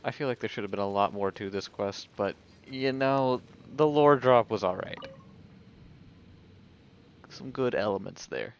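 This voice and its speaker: Low slow guy streaming a game, wry comments, dull mic w bit of noise. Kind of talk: casual live game commentary. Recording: dull, noisy stream microphone.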